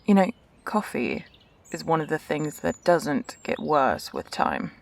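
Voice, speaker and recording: A woman playing a calm, wry, world-weary doctor and scientist in an audio drama, speaking in a dry, measured manner. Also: low voice, vocal fry, heavily acted. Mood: dry, composed.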